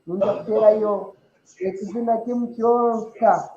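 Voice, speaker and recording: A man's voice: high-pitched, fairly even intonation, muffled recording, very noisy. Ugandan man hosting in an echoey room. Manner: halting, with frequent fillers and repeated words. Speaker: host. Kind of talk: diaspora community broadcast, mixing Rutooro and English.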